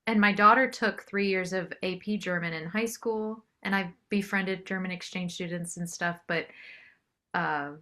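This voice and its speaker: A woman's voice: lowish voice, steady tone, moderate pace, sharp tone. A middle-aged American woman chatting casually as a singer-songwriter being interviewed.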